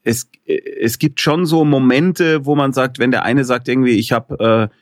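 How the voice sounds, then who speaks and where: A middle-aged man, an author and filmmaker, talking as an interview guest in a lively, joking, rambling way. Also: mid-range voice, normal speed, no room sound, clean studio sound. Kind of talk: casual interview conversation.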